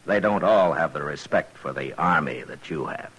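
A man playing a steady, authoritative frontier marshal in a 1950s radio drama, speaking in a measured, dry, laconic way. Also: rumbling low, husky, heavily acted. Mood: dry, firm. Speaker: lead actor in a western radio drama. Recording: noisy vintage 1950s radio recording.

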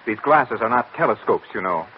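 A man's voice: lowish voice, lively intonation, brisk, natural voice. A man acting a prissy, sarcastic shop clerk with a haughty, formal manner in a 1940s radio drama. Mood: snooty. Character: snooty, prissy, sarcastic hat store clerk. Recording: muffled, noisy old radio recording.

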